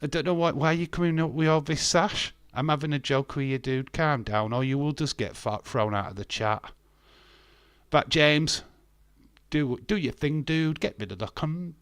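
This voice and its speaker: A man's voice: a little high, moderate pace, a bit bright, clean tone, no echo, clean studio sound. A man in his fifties hosting a live stream, reading chat comments aloud and answering them bluntly with sarcasm and frequent swearing. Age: 50s.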